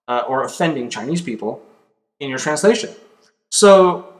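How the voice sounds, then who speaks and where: A man's voice: medium pitch, poor audio. A man in his thirties from Denmark with a Danish accent, explaining a topic casually to camera in a home office. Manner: relaxed, conversational, with fillers and pauses. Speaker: video host. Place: small home office with a computer. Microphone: webcam or desk camera, close framing.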